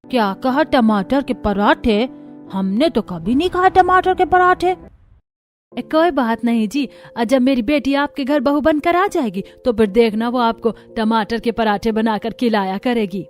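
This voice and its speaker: A woman's voice: a little high, a little slow, faint music behind, natural voice. A woman voicing chatty, opinionated women of an Indian household in a cartoon family story. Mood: polite.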